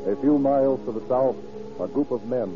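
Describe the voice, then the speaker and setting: A man's voice: mid-range voice, fairly quick, over loud music. An American man announcing and narrating a scripted 1930s radio program in a formal, declamatory manner. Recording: dull old radio broadcast recording.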